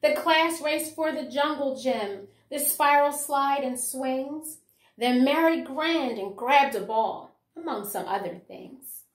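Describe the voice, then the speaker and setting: A middle-aged woman with a fairly high voice speaks as an educator presenting a children's book. Her delivery is deliberate and storyteller-like, and the room around her adds clear echo. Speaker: educator reading a children's picture book aloud. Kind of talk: expressive read-aloud and book commentary for children and teachers. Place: small room at home, indoors. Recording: roomy indoor echo.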